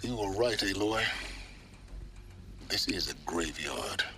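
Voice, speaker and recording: A man's voice: deep voice, expressive, slow, background noise. An actor playing a grave, brooding man in a video game, solemn and portentous. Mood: grim.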